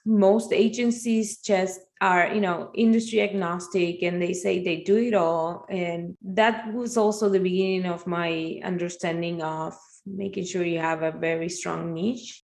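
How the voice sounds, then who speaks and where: A woman's voice: on the low side, steady tone, measured pace, gravelly, hardly any pauses, a little mellow. A middle-aged woman from Argentina, telling her story conversationally as an interview guest. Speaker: podcast guest, marketing agency owner.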